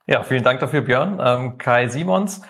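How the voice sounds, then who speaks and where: A man from the Rhineland introduces himself as an agile coach on a panel, relaxed and chatty, pausing with fillers. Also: medium pitch. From Rhineland, Germany. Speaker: agile coach and company founder.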